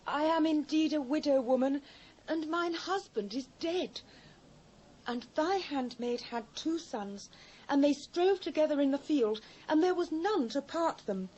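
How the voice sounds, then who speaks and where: A British actress playing a humble, pleading widow in mourning in a dramatised Bible, with formal archaic phrasing. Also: female voice, sits high, animated, unhurried, darkish tone. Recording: dull old cassette transfer.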